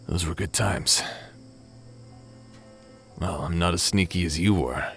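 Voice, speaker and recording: A man's voice: low-pitched, creaky, exaggerated voice. A man playing a remorseful former space pirate captain and awkward brother in a dramatic sci-fi audio roleplay. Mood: tender, awkward. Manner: halting, sighing, hesitant delivery.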